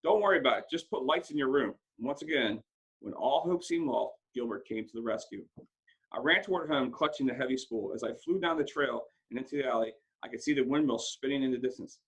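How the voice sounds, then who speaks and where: A man reading a first-person story aloud in an expressive, dramatic way, occasionally stumbling over a word. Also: medium pitch, fairly quick, breathy edge, poor audio. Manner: dramatic, acts out dialogue, stumbles over words now and then. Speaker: school principal reading a book aloud.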